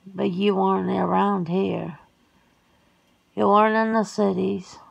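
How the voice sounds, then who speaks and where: A woman's voice: medium pitch, flat delivery, slow, creaky, highs cut off, exaggerated voice. A woman playing a folksy, plain-spoken werewolf sister in an ASMR roleplay, talking casually and colloquially. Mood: reflective.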